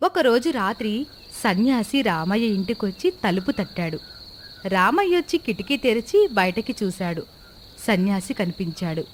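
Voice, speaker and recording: A woman's voice: mid-range voice, big pitch swings, normal speed, a little hiss or hum. A woman narrating a scripted moral story for children.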